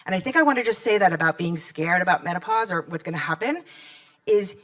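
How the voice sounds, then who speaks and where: A woman's voice: on the low side, a bit bright. Candid chatty woman in her fifties. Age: 50s. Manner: candid, chatty, rallying the audience with questions. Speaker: nonprofit founder closing a panel event. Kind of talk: closing remarks to a live audience.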